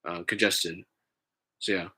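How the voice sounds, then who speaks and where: A man's voice: low-pitched, steady tone, pushed and bright, slightly rough. Congested man rambling on a vlog. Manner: halting, many fillers and restarts. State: congested, stuffy nose. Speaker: vlogger.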